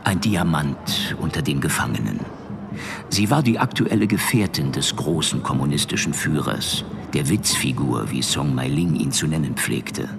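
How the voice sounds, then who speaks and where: A man playing a composed narrator, telling the story in a measured, factual documentary style for an audio drama. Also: very deep voice, lively intonation, creaky, background noise, exaggerated voice. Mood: informative.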